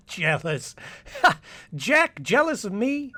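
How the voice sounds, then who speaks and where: Radio drama confidant male actor: dismissive, soothing, patronising man with highly varied intonation. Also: big pitch swings, drawn-out words, bright, forceful tone, heavily acted. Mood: amused, dismissive. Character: dismissive, amused male friend and former rival in love. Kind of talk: old-time radio drama acting.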